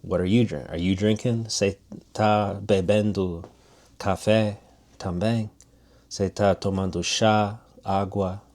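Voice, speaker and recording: Casting: man with a low voice, teacher type, chatty and demonstrative, lots of restarts. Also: fairly even intonation, unhurried, darkish tone. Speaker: language teacher. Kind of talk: casual spoken lesson.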